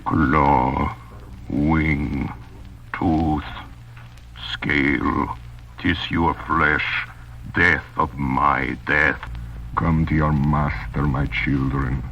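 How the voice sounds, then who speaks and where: An actor playing a commanding ancient vampire, chanting solemnly and hypnotically in 1930s radio horror style. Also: male voice, rumbling low, level tone, extremely slow, vocal fry, sharp tone, slight background noise, exaggerated voice. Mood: solemn incantation. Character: commanding ancient male vampire summoning his dark servants. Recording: dull vintage radio recording, music behind.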